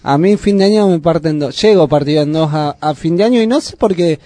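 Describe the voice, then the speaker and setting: A man's voice: fairly high pitch, brisk, dark tone, dry recording. An Argentine man hosting a radio show, chatting casually at a studio microphone. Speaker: radio host.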